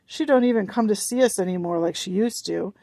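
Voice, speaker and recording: A woman's voice: mid-range voice, fairly quick, creaky, mellow, dry recording. A woman reading aloud, voicing a practical older girl who scolds her sister. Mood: scolding.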